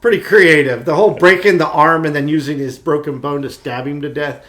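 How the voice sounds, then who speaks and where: A man's voice: a little high, steady tone, fairly quick, a bit bright. A man co-hosting a podcast in casual chat, speaking in an enthusiastic, opinionated and informal way. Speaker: podcast co-host.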